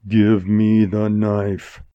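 Storybook role: male reader as lean outlaw, unemotional, plain-spoken frontier manner, gravelly edge, quietly menacing. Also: steady tone, a little slow, dry recording, muffled recording. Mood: commanding. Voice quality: booming bass.

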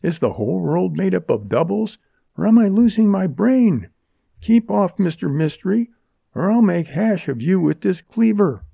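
Storybook role: male reader as brassy, wisecracking young woman, pitched well up, defiant and sneering. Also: sing-song, normal speed, creaky, warm tone, breathy edge, no room sound, highs cut off, heavily acted. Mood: frightened, defiant. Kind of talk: dramatized audiobook dialogue.

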